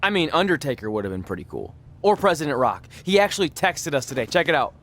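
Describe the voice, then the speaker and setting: A man's voice: fairly high pitch, big pitch swings, quick pace, a bit bright, heavily acted. A man acting a goofy, eager young wrestler in a video game cutscene, chatting with boyish earnestness. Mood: joking, distracted. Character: eager goofy young male pro wrestler, wisecracking and naive.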